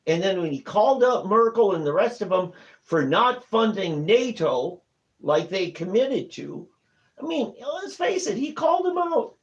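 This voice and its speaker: High-voiced, animated man with vocal fry. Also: unhurried. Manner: emphatic, agreeable, chiming in. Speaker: political talk show co-host. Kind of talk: casual political chat. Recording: muffled lo-fi audio.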